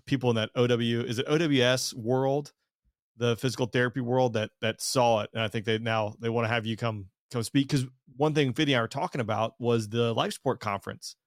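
A man's voice: mid-range voice, dry recording, clean studio sound. A man hosting a casual podcast chat, rambling through questions with many fillers and restarts. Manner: rambling, lots of fillers and self-corrections. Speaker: podcast host.

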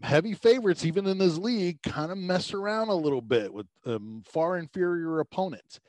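A man from Tampa, a sports radio host, giving animated sports analysis as a podcast guest, his pitch rising on emphasis. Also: fairly quick, no room sound. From Tampa, Florida.

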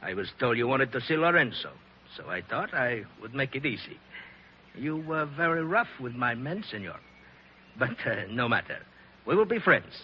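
A man's voice: medium pitch, big pitch swings, normal speed, natural voice. A man playing a suave, vain, menacing Sicilian bandit chief with an Italian accent and courtly, boastful manner in a 1940s radio drama. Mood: suave, mocking. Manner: courtly, mock-polite, boastful. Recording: dull, noisy old radio recording.

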